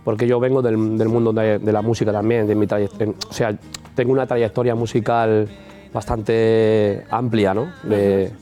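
Male singer from Spain, warm dark tone, rambling and reflective. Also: fairly low pitch, flat delivery, moderate pace, faint music behind. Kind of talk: interview answers.

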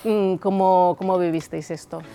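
Woman TV interviewer, low-pitched, quick nods and short prompts, faint music behind. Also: steady tone, mellow. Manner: attentive, frequent short backchannels. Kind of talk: local TV interview.

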